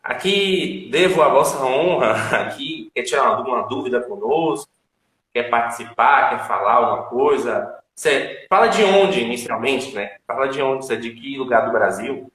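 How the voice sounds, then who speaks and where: A man's voice: mid-range voice, expressive, moderate pace, a bit bright. Chatty man in an echoey room. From Salvador, Bahia, Brazil. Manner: chatty, repeats tag questions, emphatic. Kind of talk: casual teaching chat with viewers. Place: at home.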